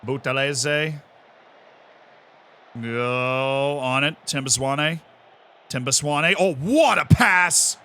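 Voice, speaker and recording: An American man live-streaming a game, commenting excitedly, shouting at big moments and cracking jokes in between. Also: mid-range voice, very slow, long pauses, smooth. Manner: excitable, shouts at goals, jokey asides.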